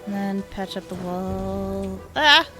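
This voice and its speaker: A woman's voice: mid-range voice, takes it slowly, poor audio. A woman game streamer giving casual live commentary in an informal, playful, exclamatory way. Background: game music.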